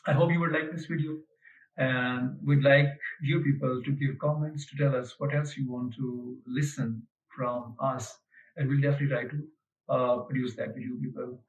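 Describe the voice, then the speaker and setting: A man giving an unhurried explanatory lecture, pausing often with fillers as he explains. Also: mid-range voice, a little mellow. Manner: unhurried, hesitant, many fillers. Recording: dull lo-fi, mic at a distance.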